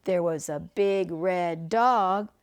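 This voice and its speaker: An older woman reading a picture book aloud to children like a grandmother, phrasing things deliberately and chatting about the pictures. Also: on the low side. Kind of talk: read-aloud storytelling with chatty asides and animal sounds.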